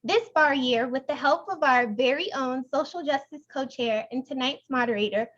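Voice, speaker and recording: A woman's voice: high-pitched, moderate pace, a bit bright, lo-fi sound. A young woman reading a formal scripted welcome and introduction as the chair of an online event. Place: indoors, online video meeting.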